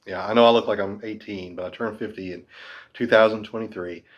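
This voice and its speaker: A man's voice: fairly low pitch, fairly even intonation, fairly quick, muffled recording. A man in his fifties talking casually to camera about guitars, chatty and rambling with a self-deprecating streak. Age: 50s. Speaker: guitar teacher.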